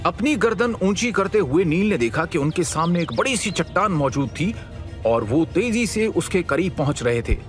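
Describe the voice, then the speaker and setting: A man narrating a scripted audio story in a dramatic, measured and suspenseful manner. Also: high voice, sing-song. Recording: music bed under the voice. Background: background music.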